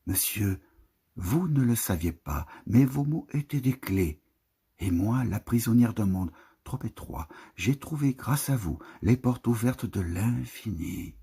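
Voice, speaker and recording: A man recites literary poetry in a very husky, breath-laden voice. His pitch sits a little low and his delivery is slow. He lingers over each phrase with a solemn, lyrical manner. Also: expressive, warm tone. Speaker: poetry reader.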